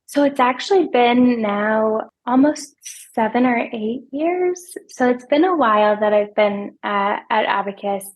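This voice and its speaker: A soft-spoken woman in her thirties, a financial advisor answering interview questions in a hesitant way, often repeating words as she thinks. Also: fairly high pitch, measured pace, hardly any pauses, rough recording. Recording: podcast remote interview.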